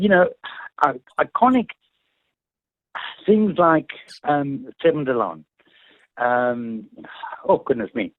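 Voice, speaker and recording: A man's voice: a little high, no room sound. A man calling in to a radio show, rambling and hesitant with chuckles. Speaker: radio caller. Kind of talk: phone-in chat. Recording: tinny phone line.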